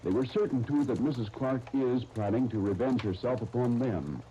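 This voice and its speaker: A man announcing and narrating in scripted 1930s radio style, with a polished, measured delivery. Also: medium pitch, dark tone. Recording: muffled, noisy 1939 radio disc recording.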